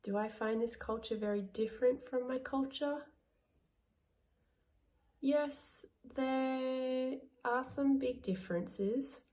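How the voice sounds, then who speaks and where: A woman answers interview questions in a slow, deliberate way. Her voice is soft and mellow, with no rush at all. She sounds like a language assistant talking about her life abroad. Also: high-pitched. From Australia.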